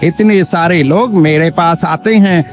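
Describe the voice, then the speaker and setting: A man's voice: a little high, measured pace, lightly acted. A man playing a greedy, falsely humble village landlord in a cartoon moral story, with an oily, over-polite manner. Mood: feigned apology. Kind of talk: Hindi animated moral story acting. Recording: dull lo-fi sound, faint music bed.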